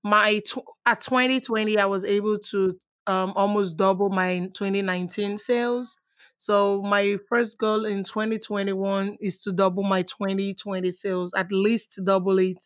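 A woman's voice: mid-range voice, dry recording. Talkative woman guest, dry sound. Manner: lively, talkative, quick bursts. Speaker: guest, online seller. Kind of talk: casual interview answers.

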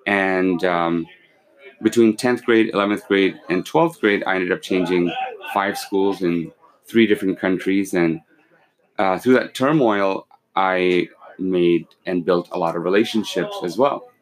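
A man in his forties, born in Pakistan and raised in London and Kuwait, calmly explaining his company as a podcast guest, with many fillers and restarts. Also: low-pitched, unhurried, rough recording. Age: 40s. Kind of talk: casual interview, explaining business.

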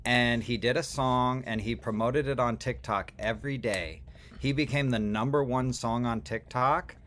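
A man's voice: medium pitch, fairly even intonation, normal speed, fairly bright tone, a little hiss or hum. A man speaking casually and at length as a guest music producer, with slangy, talkative phrasing. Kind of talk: casual podcast chat.

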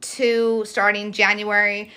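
Bright raspy young woman, podcast host. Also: mid-range voice, slow. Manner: animated storytelling, swears freely. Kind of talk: casual podcast chat.

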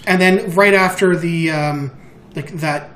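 A podcast co-host chatting casually in an animated, sweary storytelling way, often acting out quotes. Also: medium pitch, slow. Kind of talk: casual group chat. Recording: roomy echo, audible background noise.

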